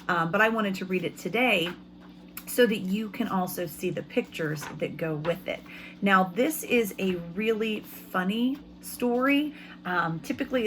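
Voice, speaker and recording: Slow-paced woman teacher reading to children. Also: mid-range voice. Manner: pauses to ask children questions; expressive storybook reading. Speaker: elementary school teacher. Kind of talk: read-aloud lesson for young children.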